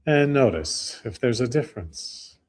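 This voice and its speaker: A man guiding a breathing meditation, speaking slowly and calmly with long pauses. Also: medium pitch, breathy edge. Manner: slow, calm, with long pauses. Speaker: meditation guide.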